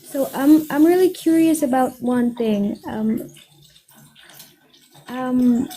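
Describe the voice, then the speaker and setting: Soft-voiced young woman caller. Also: high-pitched, takes it slowly. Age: 20s. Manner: hesitant, politely insistent.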